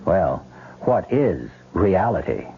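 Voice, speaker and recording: A man's voice: low-pitched, sing-song, slow pace, noisy background. A man hosting a radio mystery, narrating in a theatrical, questioning, dramatic scripted style. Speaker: host and narrator. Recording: tinny old radio broadcast, loud music.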